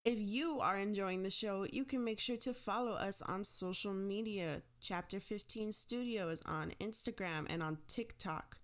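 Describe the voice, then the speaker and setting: A woman hosting her audio drama podcast, chatting casually to listeners in a chirpy, informal, self-deprecating way. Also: medium pitch. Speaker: podcast host and show creator.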